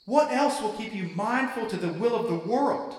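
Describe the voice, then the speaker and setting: Fervent camp preacher, high voice, emphatic sermon, heard distant in a long-reverb hall. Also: male voice, expressive, normal speed. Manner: emphatic, rhetorical questioning. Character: fervent, insistent male church camp preacher. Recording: echoey hall, distant from the mic.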